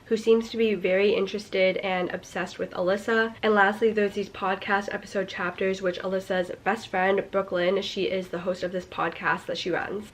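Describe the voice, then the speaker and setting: A young woman reviewing a book in a casual vlog, her voice stuffy and nasal from a cold. She rambles and sprinkles in "like" as she picks apart the plot. Her pitch sits in an ordinary middle range. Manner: chatty, critical, heavy use of "like". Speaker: book vlogger.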